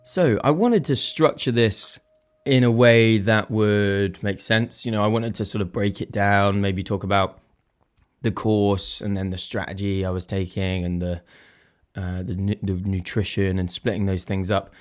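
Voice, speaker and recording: A young man hosting his own podcast, a little croaky, chatting casually and thinking aloud in a self-deprecating way. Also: on the low side, fairly even intonation, a little slow. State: slightly croaky voice. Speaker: podcast host. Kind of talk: casual solo podcast chat.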